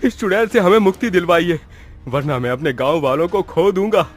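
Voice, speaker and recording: A man's voice: high-pitched, lively intonation, brisk. A man voicing a desperate, respectful village headman in a horror story, earnest and urgent. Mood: fearful, urgent. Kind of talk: Hindi horror storybook voice acting.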